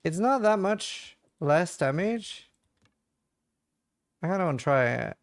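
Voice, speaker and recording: A man's voice: a little high, big pitch swings, takes it slowly, creaky, no room sound. A man from Norway, casually chatting and thinking aloud while he streams a game and answers chat questions.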